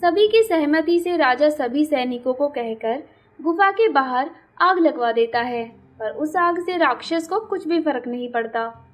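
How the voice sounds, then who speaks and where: A woman with a high voice narrates a children's cartoon fable. She takes her time over each sentence, in a measured, scripted storytelling delivery.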